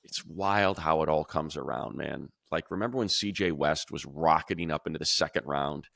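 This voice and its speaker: A man's voice: extremely low pitch, moderate pace, creaky, fairly bright tone, dry recording, clean studio sound. A male radio sports announcer co-hosting a casual football podcast, chatty and rattling off long lists of player names. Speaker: radio play-by-play announcer, show co-host.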